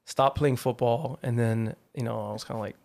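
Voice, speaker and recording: A man in his thirties from Hawaii tells his story as a podcast guest, his mid-pitched voice carrying a gravelly rasp. He talks in a loose, easygoing way, full of "like" and "you know". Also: brisk. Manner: relaxed and chatty, with frequent "like" and "you know". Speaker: guest, artist manager. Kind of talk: casual podcast interview.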